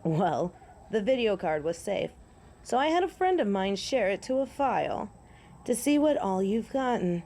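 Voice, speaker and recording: A woman's voice: medium pitch, very animated, moderate pace, warm tone. A woman playing a proud, honorable female werewolf in an audio drama, speaking in formal, old-fashioned, solemn phrases. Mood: smug, teasing.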